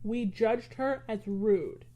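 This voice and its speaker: A woman's voice: a little high, slow pace. A woman co-hosting a podcast, sassy and outspoken in casual chat. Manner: sassy, outspoken, can get very loud. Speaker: podcast co-host.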